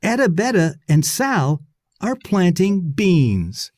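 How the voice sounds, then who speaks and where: A man's voice: high voice, big pitch swings, slow pace, darkish tone, dry recording, clean studio sound, pitched above the performer's own voice, heavily acted. A man voicing an upbeat, chatty young boy in a children's storybook, phrasing things carefully. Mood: cheerful.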